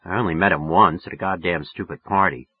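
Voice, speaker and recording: A man's voice: low voice, a little fast, husky, dry recording, phone-quality sound. A man narrating a novel in the first person, in a colloquial, confiding way. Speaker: audiobook narrator.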